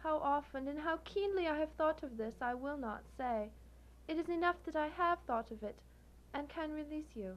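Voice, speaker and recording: Young female former sweetheart, played by an actress, very high pitch, mournful, measured radio-drama delivery. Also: expressive, highs cut off, a little hiss or hum. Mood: sorrowful resolve. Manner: formal, earnest, measured. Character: sorrowful, dignified young woman, former sweetheart. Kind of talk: live student radio drama acting.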